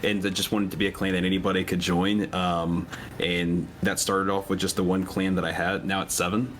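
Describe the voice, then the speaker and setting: A young man with a very deep, low-set voice talks loosely as a podcast guest. He rambles easily, circling back through fillers and restarts, with relaxed, unhurried phrasing. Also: faint music behind. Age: 20s. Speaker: podcast guest, content creator. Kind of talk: casual chat.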